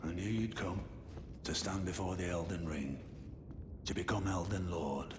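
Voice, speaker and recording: An actor playing a grave, fatalistic older scholar-warrior in a fantasy game, speaking with solemn, theatrical weight. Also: male voice, sits low, roomy sound, long reverb tail, background noise, lightly acted. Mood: grave resignation. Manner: measured, solemn, theatrical delivery. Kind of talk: fantasy game cutscene acting.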